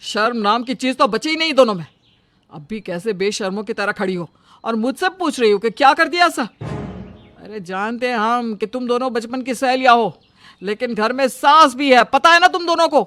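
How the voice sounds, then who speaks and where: A woman's voice: on the high side, lively intonation, normal speed, a bit bright, dry recording, faint music behind. A woman voicing an indignant, protective uncle in a cartoon story, stern and scolding. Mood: angry, scolding.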